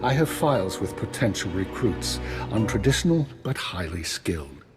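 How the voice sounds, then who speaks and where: An actor playing a shrewd, formal, dryly polite retired spymaster in a science-fiction video game. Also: male voice, fairly low pitch, big pitch swings, moderate pace, over music. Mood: measured, grave. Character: shrewd, unflappable male retired imperial spymaster. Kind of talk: scripted video game acting.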